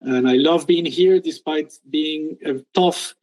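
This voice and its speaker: A middle-aged man from Peru, a guest telling his career story in an interview, with many pauses and restarts. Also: a little high, darkish tone. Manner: hesitant, many ums and restarts. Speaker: guest entrepreneur. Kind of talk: casual interview talk.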